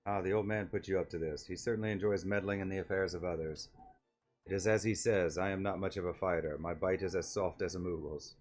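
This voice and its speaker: Guy doing a low voiced timid wolf villager from a game, formal, down on himself, music behind. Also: steady tone, fast talker, a little mellow. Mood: resigned, modest. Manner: self-deprecating, stiffly formal wording. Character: meek, self-doubting young male wolf-like villager, formal and resigned.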